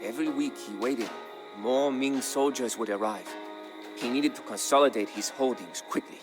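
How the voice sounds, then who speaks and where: A man reading a scripted, dramatic historical narration in a measured, solemn storytelling manner. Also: mid-range voice. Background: background music.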